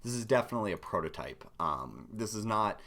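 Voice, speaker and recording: Hoarse, low-voiced man streaming game dev. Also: very animated, brisk, fairly bright tone. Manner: rambling, many ums and restarts. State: hoarse from illness. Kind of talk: casual live-stream coding tutorial.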